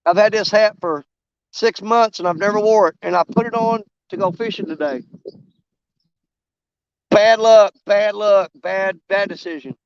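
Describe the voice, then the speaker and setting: Hoarse man, high clear voice. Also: a little slow, dry recording, dull audio. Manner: rambling, self-mocking, drawling. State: losing his voice, coughing. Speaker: fishing vlogger streaming live. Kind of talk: casual live-stream chat while fishing. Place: outdoors by a river.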